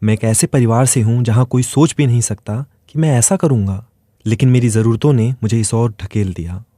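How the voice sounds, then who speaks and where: A man's voice: mid-range voice, moderate pace, warm tone, no room sound, clean studio sound. A man reading a scripted first-person dramatic story as its narrator.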